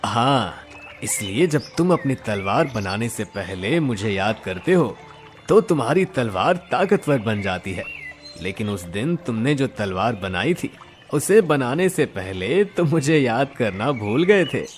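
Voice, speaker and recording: A man voicing a kindly river god in a cartoon moral story, reassuring with calm authority. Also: mid-range voice, unhurried, soft background music. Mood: benevolent, explaining.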